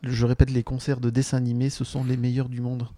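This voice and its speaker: A man's voice: medium pitch, completely flat delivery, fast talker, dark tone. A man casually hosting a podcast conversation and putting questions to his guests. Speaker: podcast host. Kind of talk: casual hosting of a group chat.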